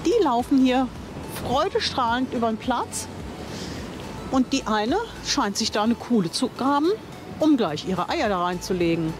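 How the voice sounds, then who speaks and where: A woman's voice: a little high, animated, a little slow, over music. Chatty older woman touring outdoors. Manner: chatty, enthusiastic, thinks aloud and corrects herself. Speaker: vlogger touring a campsite. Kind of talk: casual on-camera tour commentary. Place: outdoors at a campsite playground.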